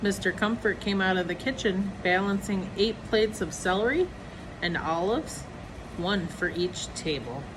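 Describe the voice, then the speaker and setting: A woman's voice: mid-range voice, expressive, unhurried. Woman reading a storybook over background noise. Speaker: storybook reader. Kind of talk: reading a children's picture book aloud.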